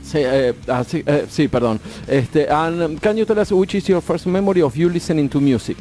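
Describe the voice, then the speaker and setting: A man with an Argentine accent interviewing a band and translating, halting and full of fillers, switching between Spanish and English. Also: on the high side, mellow, soft background music. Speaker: radio co-host and interviewer. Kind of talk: bilingual interviewing with live translation.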